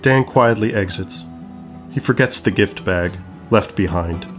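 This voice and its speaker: A man's voice: fairly low pitch, unhurried, soft background music. A man reading scripted narration for an audio play, describing action in stage-direction style.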